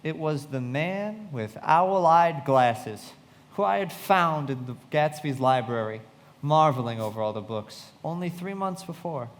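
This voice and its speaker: A man's voice: on the high side, expressive, a little slow. An actor playing an earnest, disillusioned young man who narrates a radio play. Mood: reflective. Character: earnest, disillusioned young man recalling his friend's death. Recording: reverberant hall.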